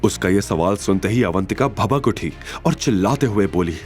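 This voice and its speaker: A man narrating a scripted drama story in an expressive, suspenseful storytelling style. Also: low-pitched, normal speed, soft background music.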